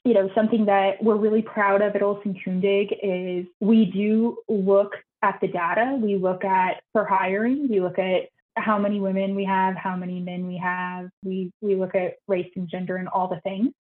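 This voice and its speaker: A young woman architect answering interview questions on a video call, earnest and quick to repeat her words, her voice going high when she gets excited. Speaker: architect guest. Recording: tinny call audio.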